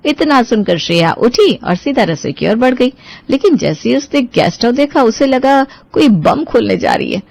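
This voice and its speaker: A woman's voice: fairly high pitch, sing-song, normal speed, vocal fry, darkish tone, no room sound. A woman reading out a scripted story as its narrator.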